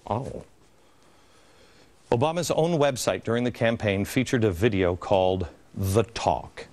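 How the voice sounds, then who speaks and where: A man's voice: fairly low pitch, measured pace, a little mellow. A man in his forties hosting an opinion TV show in a large studio, talking in an indignant, sarcastic, theatrical way. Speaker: TV host. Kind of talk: opinion TV commentary. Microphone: studio mic, standing at camera.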